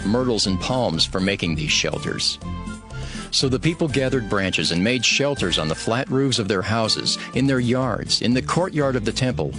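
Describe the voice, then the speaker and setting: A man giving a scripted narration of an audio Bible as its narrator. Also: lowish voice.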